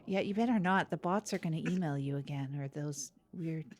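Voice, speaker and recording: A woman playing an earnest, chatty small-town co-host of a local news show, speaking in a halting, literal way. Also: sits low, darkish tone. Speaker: co-host of a comedy news podcast. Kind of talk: improvised mock local public-access news chat.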